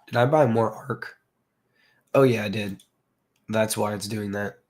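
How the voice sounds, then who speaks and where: A hoarse man from the southern United States, hosting a casual livestream and chatting with viewers. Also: fairly low pitch, fairly even intonation, measured pace, darkish tone. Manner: rambling, filler-heavy, greets chat viewers. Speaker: crypto livestream host.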